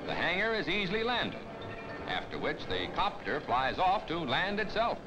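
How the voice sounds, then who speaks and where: A male American narrator delivers scripted newsreel copy in the grand 1950s manner. His voice sits high and moves with lively, declamatory swings. Loud music plays behind him on the vintage film soundtrack. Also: moderate pace, poor audio. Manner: dramatic, declamatory. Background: loud orchestral newsreel music.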